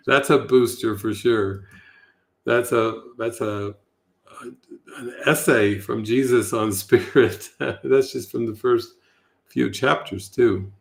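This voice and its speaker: A man speaking as a spiritual teacher in a devotional setting, with a measured and earnest delivery. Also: medium pitch. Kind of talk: devotional reading and informal spiritual talk.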